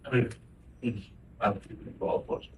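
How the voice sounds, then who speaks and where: A man speaking as a prosecutor, giving tentative, informal replies in a courtroom, picked up from a distance. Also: fast. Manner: tentative, noncommittal. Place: courtroom, away from the mic. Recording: distant, echoey, noisy room pickup.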